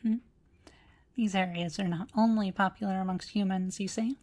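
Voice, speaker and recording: A woman playing an ancient, courteous automaton librarian in a scripted audio roleplay, speaking formally with precise, measured diction. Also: mid-range voice, steady tone, darkish tone, slight background noise, close to an everyday voice. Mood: calm, instructive. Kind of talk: scripted roleplay audio drama addressed to listener.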